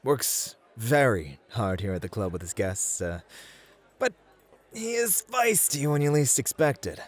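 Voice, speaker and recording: A man's voice: mid-range voice, sing-song, a little slow. A man playing a suave, competitive club host who flirts and teases theatrically in an ASMR roleplay. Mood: fond, relaxed. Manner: smooth-talking, teasing, theatrical.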